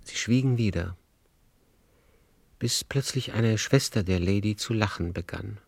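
A man narrating a literary radio play as a measured storyteller. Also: on the low side, creaky, no echo.

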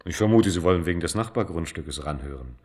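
A male manager in his early thirties, brash and on his guard. His fairly low, animated voice has a casual, wry, brusque edge, performed naturalistically for radio drama. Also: fast. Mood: wry, grumbling.

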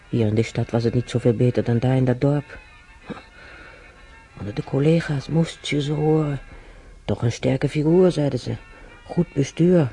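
An actor playing an ailing older man in hospital, musing haltingly and bitterly in a naturalistic radio drama. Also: medium pitch, dark tone, natural voice. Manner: halting, musing, repeats himself. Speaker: hospital patient.

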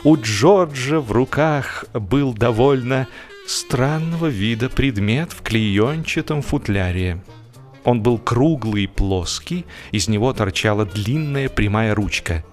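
A man's voice: mid-range voice, lively intonation, slow. Wry male storyteller over music. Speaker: audiobook narrator. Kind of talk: humorous literary narration, theatrical reading.

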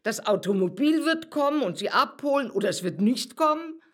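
A disgruntled housemaid in a period radio play. Her fairly high voice swings animatedly as she grumbles, put-upon. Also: animated, moderate pace, fairly bright tone. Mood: grumbling. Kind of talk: German literary radio drama.

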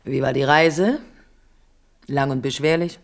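A scheming royal queen mother in an old radio play. Her voice is smooth and measured, and she sounds persuasive and coolly calculating even when she speaks of peace. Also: a bass voice. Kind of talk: 1970s radio drama acting.

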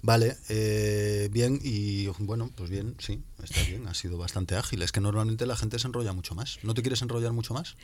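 Low-voiced teasing host. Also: male voice, normal speed, hardly any pauses, a little mellow. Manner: teasing, blunt, swears freely. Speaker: podcast host. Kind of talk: casual interview chat.

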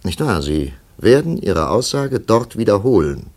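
An actor playing a firm, composed investigator in a 1950s German radio drama, deliberate and authoritative. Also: male voice, fairly low pitch, big pitch swings, a little mellow. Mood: firm, measured. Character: firm, composed male investigator, official and steady.

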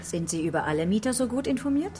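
A woman's voice: fairly high pitch, animated, fast talker, darkish tone, faint music behind, lightly acted. An actress playing a pragmatic, sceptical female homicide detective in a naturalistic radio crime drama, matter-of-fact and probing.

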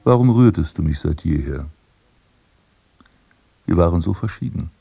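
An actor playing a proud, defiant blinded prince and landscape gardener in a literary radio play, declamatory and haughty. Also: male voice, low voice, lively intonation, normal speed, gravelly, dark tone, slightly rough, heavily acted. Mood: melancholy.

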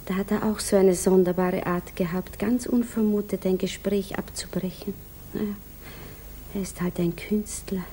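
An actress playing an anxious, yearning provincial widow in a 1950s German radio drama, musing hesitantly. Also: female voice, medium pitch, steady tone, moderate pace, dark tone, lightly acted. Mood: wistful, musing. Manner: hesitant, musing inner monologue. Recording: hissy 1950s radio recording.

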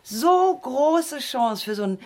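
A woman's voice: high voice, animated, slow. A woman playing a gushing, tactless actress who is eager to agree, in naturalistic radio drama dialogue. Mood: effusive. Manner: effusive, agreeable.

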